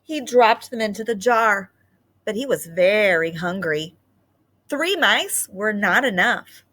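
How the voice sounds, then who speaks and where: A woman teacher leads a read-aloud lesson for children. Her voice is bright and mid-pitched, and she takes her time, phrasing things simply and expressively. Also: slow. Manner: simple explanatory phrasing, expressive when reading.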